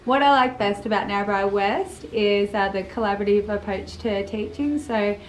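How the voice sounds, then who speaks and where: A woman's voice: mid-range voice, normal speed. A young Australian woman, a kindergarten teacher, speaking earnestly to camera with some hesitant fillers. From South Coast of New South Wales, Australia. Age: young adult. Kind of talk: casual to-camera interview. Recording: echoey classroom.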